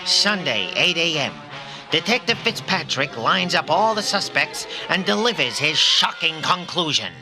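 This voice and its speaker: A dramatic, earnest male announcer played by an actor narrates a detective serial in old-time radio fashion. His voice is on the high side for a man. Prominent music plays behind his scripted narration. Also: sing-song, measured pace.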